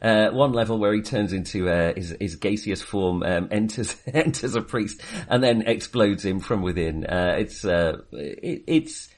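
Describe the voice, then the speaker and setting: A man with a fairly low voice talks through films as a podcast co-host. His delivery is casual and hesitant, wandering and doubling back to rephrase. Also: measured pace, hardly any pauses. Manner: hesitant, rambling, self-correcting. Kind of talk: casual film discussion.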